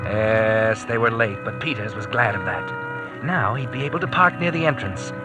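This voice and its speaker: A man's voice: on the low side, normal speed, lightly acted. Actor doing an ominous taunting 1940s radio mystery narrator, man, music swelling behind him. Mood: ominous, measured.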